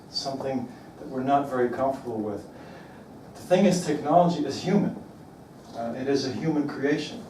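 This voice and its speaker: A man from Belgium giving a talk to an audience, asking rhetorical questions and slowing down on emphatic words. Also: mid-range voice, mellow, a little hiss or hum. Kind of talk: presentation to an audience. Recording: roomy echo from far off, faint ambience.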